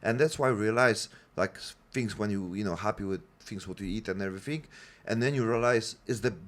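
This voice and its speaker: A man hosting a podcast, talking in animated, broken English and swearing casually. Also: lowish voice, a little fast. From Poland. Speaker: podcast host. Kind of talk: casual conversation.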